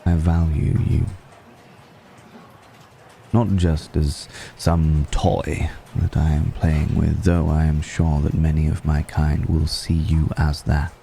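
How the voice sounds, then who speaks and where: A man playing an ancient, suave vampire noble in an intimate ASMR roleplay, speaking formally and deliberately with long pauses in a gravelly voice, seductive and affectionately amused. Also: monotone, dark tone, a little hiss or hum, full character voice. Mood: tender, admiring. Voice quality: very deep, gravelly.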